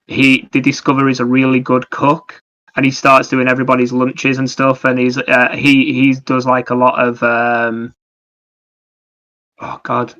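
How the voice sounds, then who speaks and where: A man's voice: mid-range voice, bright, forceful tone, dull audio. A man co-hosting a podcast, chatting casually and explaining things in a rambling, enthusiastic way. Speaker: podcast co-host. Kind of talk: casual chat about anime and games.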